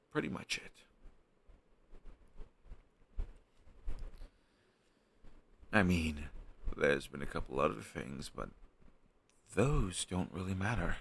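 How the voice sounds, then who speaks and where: Low, creaky, sheepish young man acted out by a man. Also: a bit bright, full character voice. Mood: evasive, sheepish. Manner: hesitant, sheepish, halting conversational delivery. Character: flustered young man shyly hiding a crush on his best friend. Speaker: audio roleplay performer. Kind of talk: intimate one-sided conversational audio roleplay.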